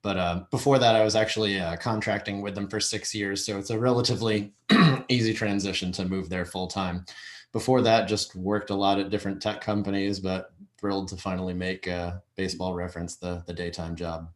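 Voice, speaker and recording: A man with a fairly low voice chats informally on a video call as a guest. His delivery is halting, with phrases broken up as he goes. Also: steady tone. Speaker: guest, baseball website tech worker. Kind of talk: casual chat on a video call. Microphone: video call microphone.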